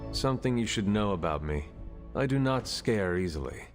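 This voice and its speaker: A fearless, stoic hunter acted by a man in a video game. His voice is low and his wording formal and measured, with a long reverb around it and soft music behind. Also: expressive, lightly acted. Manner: formal, measured, avoids contractions. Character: stoic, formal male demon-hunting warrior, fearless and courteous. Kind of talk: video game cutscene acting.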